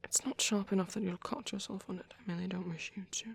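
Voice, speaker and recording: A man voicing a gentle, caring vampire priest in an ASMR roleplay, speaking in a soft, breathy whisper with unhurried, hesitant pauses. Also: high-pitched, fairly even intonation, no echo, exaggerated voice. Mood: tender, careful. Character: gentle, caring male vampire priest comforting a little one. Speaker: ASMR performer.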